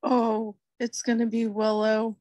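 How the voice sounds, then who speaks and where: A woman's voice: on the high side, completely flat delivery, unhurried, a little mellow, no echo. A woman podcast panelist casually reacting to a TV show in conversation.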